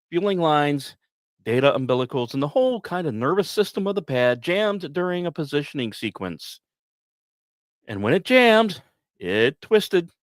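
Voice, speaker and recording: A man's voice: fairly high pitch, dry recording, studio quality. A man hosting a podcast, reading scripted space news like a dramatic story with conversational asides. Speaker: podcast host.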